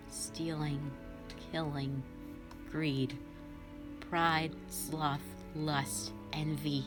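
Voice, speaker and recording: A woman voicing a sadistic, vengeful female fox spirit in a scripted audio drama, theatrical and condescending. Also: low voice. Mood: contemptuous.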